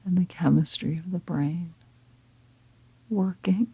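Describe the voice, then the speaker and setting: A woman leading a guided meditation in a calm, gentle, breathy half-whisper, unhurried and with long pauses. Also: on the low side, dry recording. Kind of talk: guided meditation, slow soft-spoken instruction.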